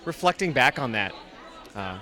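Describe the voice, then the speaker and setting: A man's voice: medium pitch, very animated, moderate pace, sharp tone, a faint crowd. A man interviewing a guest at a convention, upbeat and asking short prepared questions. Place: outdoors at a convention.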